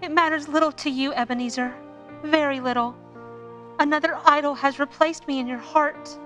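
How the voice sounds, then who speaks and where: Woman acting as young sweetheart, high voice, earnest, measured, reproachful, radio drama, light music behind. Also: fairly even intonation, a bit bright. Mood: sad reproach. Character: wounded, resolute young woman parting from her love.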